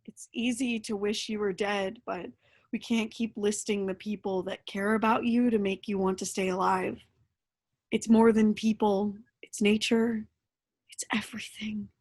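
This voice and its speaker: A woman's voice: medium pitch, little pitch movement, unhurried, a little mellow. An actress playing a caring, candid woman in a staged play reading. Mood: tender, sad. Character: Atara, caring, candid woman, Lazarus's lover.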